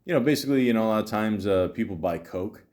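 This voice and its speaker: Casting: male podcast host, lowish voice, loose rambling delivery with dry, profane asides. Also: expressive, darkish tone. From Canada. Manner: rambling, halting, profane, sardonic. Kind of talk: casual comedic podcast monologue, reading aloud with asides.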